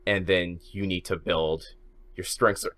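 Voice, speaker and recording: Casting: male podcast co-host, very low voice, musing and restarting mid-sentence. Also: fairly even intonation, a little slow, fairly bright tone. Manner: thinking aloud, repeats words as he restarts. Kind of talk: casual podcast chat.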